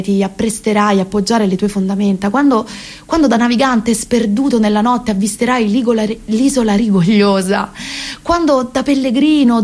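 A woman's voice: a little high, natural voice. A woman acting an impassioned woman of about thirty. She rambles and corrects herself, swinging between hesitation and mock-solemn emphasis as in a comic stage monologue for radio. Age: 30s.

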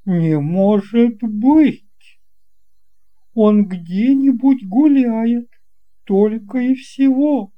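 A woman voicing an effusive, brisk elderly lady in a storybook tale, speaking in emphatic exclamations. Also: fairly high pitch, phone-quality sound, voice pushed up.

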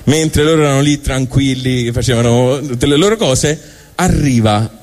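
A man's voice: medium pitch, a little mellow, slight background noise. Rambling Tuscan comedian, a man mid-monologue. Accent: Tuscan Italian. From Tuscany, Italy. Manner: rambling, halting, colloquial, self-interrupting. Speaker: stand-up monologist. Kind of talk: live comic storytelling monologue.

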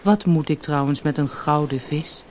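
A woman voicing a humble, contented old fisherman in a storybook, soft-spoken, mild and resigned. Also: low-pitched, moderate pace, close to an everyday voice. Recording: noisy old cassette audiobook.

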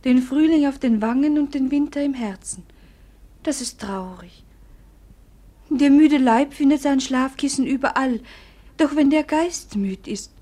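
An actress playing a melancholy, dreamy young princess in a classic radio play, hushed and poetic. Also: female voice, high-pitched. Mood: mournful. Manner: hushed, poetic, often half-whispered.

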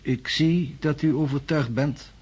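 An actor playing an impatient, self-assured professor of science in a radio drama, speaking formally and pedantically. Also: male voice, a little high, fairly even intonation, unhurried, a little mellow, husky, highs cut off. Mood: forceful. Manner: formal, pedantic, emphatic lecturing.

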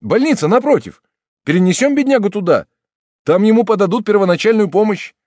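A male narrator voicing an eager bystander who urgently proposes a plan in a raised, higher voice. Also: lively intonation, brisk, darkish tone, dry recording, studio quality.

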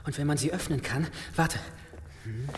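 A man's voice: fairly high pitch, moderate pace, natural voice. An actor playing an obsessive, learned man in an audio drama, muttering and interrupting himself as he works. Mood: absorbed, excited. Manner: muttering, absorbed, self-interrupting. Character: obsessive learned man, excited by hidden mechanisms. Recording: long cavernous reverb, faint noise.

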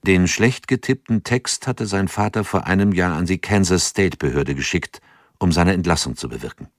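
Deep, calm male narrator. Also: normal speed, dry recording, studio quality. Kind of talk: scripted narration.

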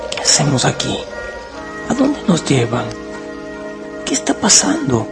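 Radio drama role: naive, easily frightened wolf cub, very animated pitch, creaky edge. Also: measured pace. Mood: frightened, confused. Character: young male wolf cub, naive, awed and fearful. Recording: music under the voice.

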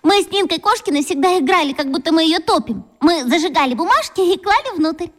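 Маленькую девочку с очень высоким голосом. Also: female voice, very high voice, fairly quick. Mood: eager, dreamy. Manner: expressive, childlike, earnest. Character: chatty, eager, warm-hearted young schoolgirl. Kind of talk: children's radio play acting.

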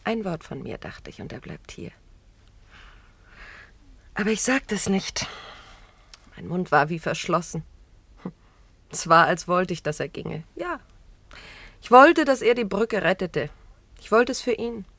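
An actress playing a grief-stricken, self-blaming widow in a German radio play, with a brooding, hesitant, confessional manner. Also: female voice, lowish voice, very animated, a little slow, vocal fry, long pauses, a bit bright, dry recording, full character voice. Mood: anguished, confessing.

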